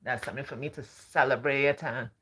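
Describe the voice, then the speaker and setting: A calm Barbadian woman hosting a live stream, talking casually in a gentle, sing-song way. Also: rumbling low, fairly quick.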